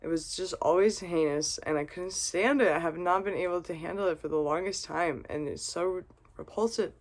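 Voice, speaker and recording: A woman's voice: fairly low pitch, normal speed. A woman vlogging a rambling, earnest monologue after a drink, swearing and laughing often. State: has been drinking vodka. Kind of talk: casual reflective monologue.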